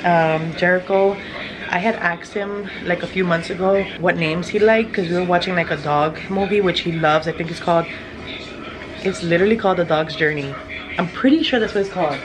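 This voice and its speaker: A woman's voice: lowish voice, fairly quick, background noise. A woman in her thirties chatting casually to her phone in her home kitchen, bubbly and rambling, with little giggles. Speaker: family vlogger. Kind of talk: casual vlog chat. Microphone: phone at arm's length.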